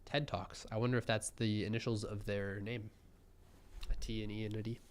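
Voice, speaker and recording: A young man giving relaxed, musing live commentary on a programming contest, often trailing off mid-thought. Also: fairly low pitch, normal speed, clear, resonant tone.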